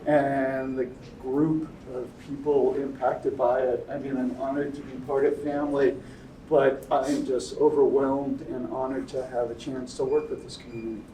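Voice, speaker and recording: A man's voice: mid-range voice, little pitch movement, normal speed, mellow. An older man, a doctor on a panel, introducing himself in an earnest, reflective, unscripted way. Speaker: panelist, pediatric hematologist and researcher. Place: indoor event venue, panel talk. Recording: echoey room, distant mic, faint noise.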